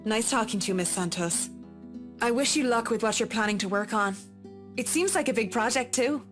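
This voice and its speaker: An actress plays a courteous, reserved professional woman in a visual novel. Her voice sits on the high side, and she speaks politely over light guitar music. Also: fairly quick, close to an everyday voice. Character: courteous, reserved woman interior designer and cat owner.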